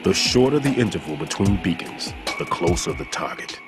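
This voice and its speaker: An actor playing a grave, self-blaming, aging bomb disposal expert in a dramatic video game. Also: male voice, deep voice, big pitch swings, normal speed, music in the background. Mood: measured, wary.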